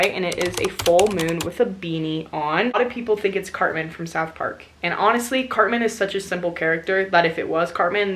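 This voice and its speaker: A woman's voice: lowish voice, bright, forceful tone. A young woman in her twenties talking casually to camera, chatty and candid, with easy laughs and casual swearing. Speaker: vlogger. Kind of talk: casual talking-head chat.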